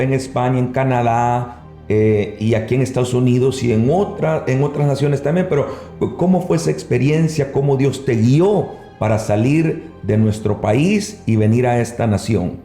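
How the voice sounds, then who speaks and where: A pastor with a Salvadoran Spanish accent, conducting a conversational church interview, rephrasing his questions deliberately. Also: medium pitch, dark tone. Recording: roomy echo, music underneath.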